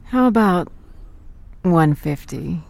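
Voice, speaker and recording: An unhurried cool woman in a naturalistic audio drama. She is wry, understated and guarded, teasing as she drives a hard bargain in slow, measured phrases. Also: lowish voice, animated, creaky, a little mellow, full character voice.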